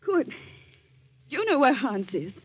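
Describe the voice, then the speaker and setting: A woman's voice: high-pitched, sing-song, measured pace, slightly rough, full character voice. A woman acting an anxious, shaken young fiancée in an old-time radio drama, faltering and pleading. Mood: distraught. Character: anxious young Austrian fiancée, shaken by her uncle's murder. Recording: dull noisy old radio recording.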